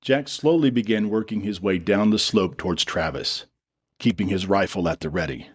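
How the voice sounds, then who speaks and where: A man with a fairly low voice narrates a dramatic story. He reads from a script in the role of storyteller. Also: normal speed, slightly rough, no room sound, studio quality.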